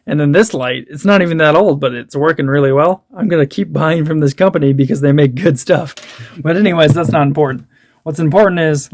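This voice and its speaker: A man's voice: a little high, dry recording, studio quality. A young man chatting informally in a rambling show-and-tell vlog, often interrupting himself as he goes. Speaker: antiques dealer and video host.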